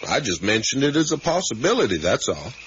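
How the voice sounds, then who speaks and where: Easygoing dad, folksy rambler, lively pitch swings, muffled hissy radio drama. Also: male voice, brisk. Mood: gentle, apologetic. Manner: folksy, rambling, gently teasing. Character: easygoing talkative father, folksy and reflective. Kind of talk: naturalistic radio drama acting.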